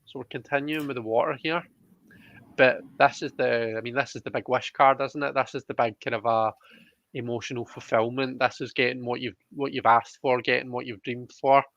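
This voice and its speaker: A man hosts a casual live card reading in a medium-pitched voice. He thinks aloud and repeats phrases as he chats to his guest and viewers. The sound is dry, with little room in it. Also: fairly even intonation, brisk, creaky, a bit bright, no echo, lo-fi sound.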